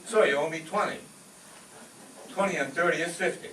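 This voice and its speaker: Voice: mid-range voice, normal speed, a bit bright, natural voice. A performer playing a slick, unflappable male straight man in a vaudeville-style radio comedy routine. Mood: slick, insistent. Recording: echoey distant mic, noisy live stage.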